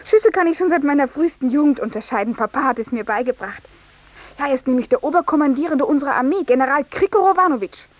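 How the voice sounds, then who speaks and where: A woman's voice: high voice, lively intonation, fast. An actress playing a dreamy, naive and coquettish young general's daughter in a 1950s radio play, with a gushing girlish manner. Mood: proud, coy.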